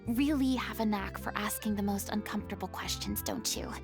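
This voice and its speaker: A woman's voice: medium pitch, fairly quick, close to an everyday voice. A woman voicing a proud but sincere young female former star in a video game, refined, polite and theatrical. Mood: sheepish. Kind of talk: video game character dialogue. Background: music.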